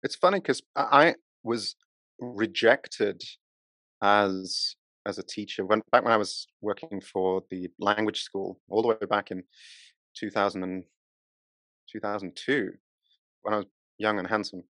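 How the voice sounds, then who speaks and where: A man's voice: on the low side, steady tone, unhurried, pauses often, fairly bright tone, no echo. A man, a university researcher, explaining his work in an academic, explanatory way during a conversational interview. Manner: explanatory, academic, with frequent restarts. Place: Japan.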